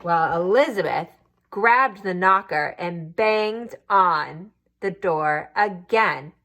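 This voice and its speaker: A woman's voice: lowish voice, expressive, slow pace, fairly bright tone. A woman reading a picture book aloud to children expressively, adding asides to her listeners.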